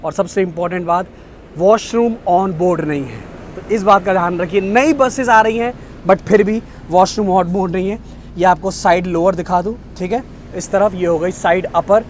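A man's voice: high-pitched, moderate pace, slight background noise. A young man, the host of a travel vlog, talks to viewers about a bus trip and points things out to them, first at an outdoor depot and then inside a sleeper bus, on a camera held at arm's length. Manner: chatty, keeps pointing things out to viewers, mixes in English phrases. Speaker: travel vlogger. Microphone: phone or action camera at arm's length.

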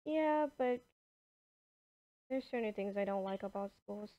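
A woman voicing a shy, bereaved young woman in an improvised game roleplay, hesitant and soft-spoken. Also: mid-range voice, measured pace, muffled recording, lightly acted. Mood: reluctant.

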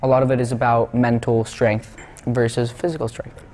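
A man's voice: mid-range voice, flat delivery, moderate pace, darkish tone, slight background noise. A teenage boy gives brief, plain answers about sailing. He is recorded indoors against a plain wall, with a clean background. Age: teens. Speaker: student sailor. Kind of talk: interview answers.

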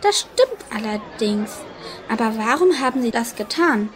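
Stern policewoman played by an actress for a kids' radio play: highish, very lively pitch, brisk authority. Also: some chatter behind. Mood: sceptical. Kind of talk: children's audio drama acting.

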